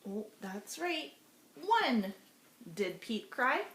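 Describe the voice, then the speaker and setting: A woman teacher reading a storybook aloud to young children in an expressive, sing-song way, asking them questions as she goes. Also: a little high, very slow. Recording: roomy echo with faint background noise.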